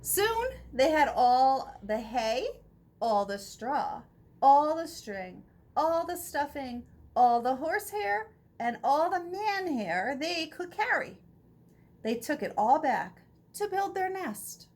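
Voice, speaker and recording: A woman narrating a children's picture book aloud in an expressive storytelling style. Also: high voice, slow. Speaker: storybook reader.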